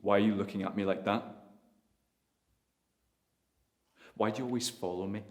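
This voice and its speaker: A man's voice: fairly low pitch, pitched above the performer's own voice, lightly acted. A man playing a bitter son raging at his father. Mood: accusing. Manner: furious, swearing, voice climbing to shouts. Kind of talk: audition monologue. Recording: roomy echo.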